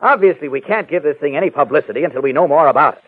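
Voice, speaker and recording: An actor playing an earnest, level-headed newspaper reporter, reasoning emphatically in a 1940s radio serial. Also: male voice, on the high side, racing, slightly rough, dry recording, narrow, tinny audio. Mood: exasperated, persuasive. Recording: thin, band-limited vintage radio recording.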